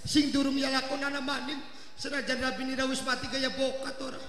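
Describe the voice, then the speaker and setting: A middle-aged man with an Indramayu Javanese accent doing stage comedy banter, loud and teasing, repeating words rapidly into a handheld mic. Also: extremely high pitch, monotone, slight background noise. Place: outdoor village stage. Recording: echoey outdoor PA with music.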